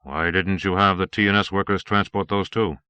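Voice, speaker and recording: Stern, forceful police detective voiced by a man. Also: deep voice, fairly even intonation, brisk, clean tone, no echo. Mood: probing. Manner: harsh, probing, formal. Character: stern, suspicious male police detective sergeant. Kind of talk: audiobook character acting.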